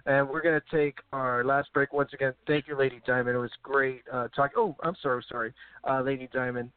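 A man's voice: mid-range voice, steady tone, fairly quick, smooth, no room sound. A man hosting a wrestling talk show in a casual, upbeat way, thanking his guest and announcing breaks. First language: English. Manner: upbeat, hype-man sign-offs. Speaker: podcast host. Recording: tinny phone-like audio.

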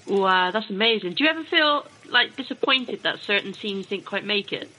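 A woman's voice: medium pitch, lively intonation, normal speed, bright, forceful tone, a little hiss or hum, low-quality recording. A woman co-hosting a talk show, interviewing a guest in a gushing, affectionate way. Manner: gushing, affectionate, calls guest darling and sweetheart. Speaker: radio show co-host. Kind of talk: casual interview.

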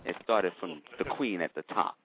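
A man's voice: low voice, normal speed, noisy background. A man chatting casually as a caller to a talk show, with a folksy, polite way of talking. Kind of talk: casual chat on a call-in show. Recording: thin phone line.

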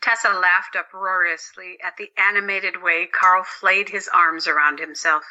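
A woman's voice: fairly low pitch, fairly even intonation, a little slow, pushed and bright, muffled recording. A woman narrating a scripted story as the narrator of an audio drama.

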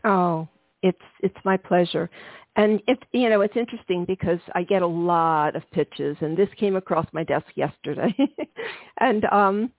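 Female podcast host, slightly gravelly, chatty with ums, dry tinny phone-line sound. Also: on the low side, unhurried, dry recording. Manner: warm, chatty, many ums and soft laughs. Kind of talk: hosting a healing-themed interview podcast.

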